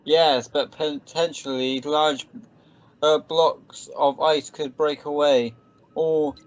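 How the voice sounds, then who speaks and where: Slow, humourless sci-fi scanner officer played by a man. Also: fairly high pitch, level tone. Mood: urgent, matter-of-fact. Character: Cyrus, cynical, pedantic scanner-reading science officer, humourless. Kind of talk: sci-fi radio play acting. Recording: dull muffled lo-fi recording.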